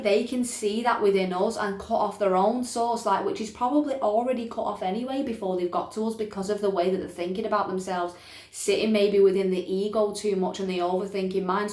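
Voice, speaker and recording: A woman talks informally in a roomy, echoing space, like a spiritual teacher addressing her followers. Her pitch sits in the middle for a woman. She is emphatic, circles back over each point and keeps checking that listeners are with her. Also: darkish tone. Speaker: spiritual teacher presenting a self-help video. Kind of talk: informal explanatory talk to camera. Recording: echoey, roomy sound.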